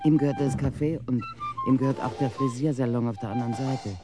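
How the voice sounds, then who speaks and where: A man's voice: mid-range voice, fairly even intonation, quick pace, lightly acted. An actor playing a devoted, gossipy local man in a radio crime drama, speaking emphatically. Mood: admiring. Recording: music under the voice.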